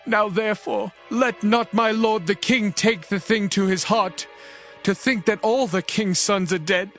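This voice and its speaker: Shrewd royal counsellor, high voice, slow, formal, declamatory, biblical audio drama. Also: male voice, steady tone, fairly bright tone, faint music behind. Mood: solemn reassurance. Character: Jonadab, shrewd reassuring royal kinsman and counsellor. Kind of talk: dramatized scripture reading with archaic English.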